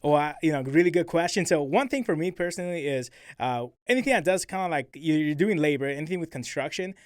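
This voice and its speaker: A man's voice: a little high, animated, fast talker, no echo, clean studio sound. A man in his twenties, raised in New Mexico, chatting as a podcast guest in an upbeat, easygoing way, full of "you know, man". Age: 20s. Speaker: guest, roofing executive. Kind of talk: casual interview chat.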